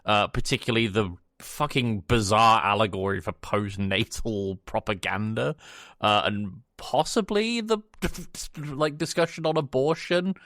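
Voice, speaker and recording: A man's voice: on the low side, a little slow, a bit bright, dry recording, studio quality. A man co-hosting a podcast, chatting casually in a blunt manner. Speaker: podcast host.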